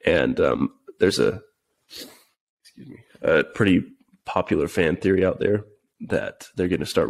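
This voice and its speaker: Sick guy on a podcast, real deep voice, kinda raspy, lots of ums. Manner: relaxed, hesitant with many fillers. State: sick, sniffling. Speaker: podcast co-host. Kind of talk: casual chat.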